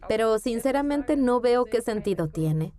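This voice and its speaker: A woman's voice: a little high, lively intonation, a little slow, dark tone. A woman dubbing a candid, determined immigrant woman doctor in a documentary, in a matter-of-fact manner. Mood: matter-of-fact, resigned. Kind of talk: documentary voice-over dub.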